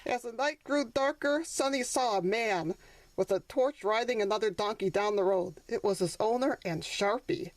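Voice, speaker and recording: A woman's voice: mid-range voice, normal speed. A woman reading her own children's book aloud as a storybook narrator.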